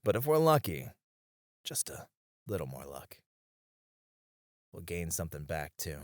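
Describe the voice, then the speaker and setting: A low-voiced, battle-weary knight acted by a man speaks slowly and intimately, as in a romantic audio roleplay. He sounds tender, wistful and devoted, with hesitant phrasing, in a close, dry recording. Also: sing-song, dry recording. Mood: earnest, tender. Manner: soft, intimate, hesitant with small chuckles.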